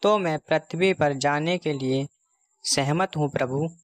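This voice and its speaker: Man playing a pleading, weary soul, higher voice. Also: unhurried, no room sound, natural voice. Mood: earnest, insistent. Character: Ramu, weary pleading soul bargaining with God. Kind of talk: Hindi cartoon moral story dialogue.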